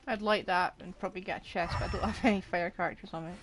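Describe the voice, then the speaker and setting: A woman's voice: lowish voice, steady tone, quick pace, a little hiss or hum. A woman giving casual, playful gameplay commentary, muttering reactions to herself as she streams. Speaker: game streamer.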